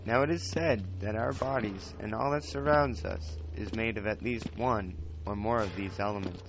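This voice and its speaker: Low-voiced apprentice wizard played by a man, lo-fi. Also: moderate pace, vocal fry, heavy background noise. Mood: earnest, explaining. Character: young wizard's apprentice, chatty, grumbling, eager amateur scholar. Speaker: host. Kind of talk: scripted fantasy podcast monologue and narration. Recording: dull lo-fi home recording, loud music. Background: music.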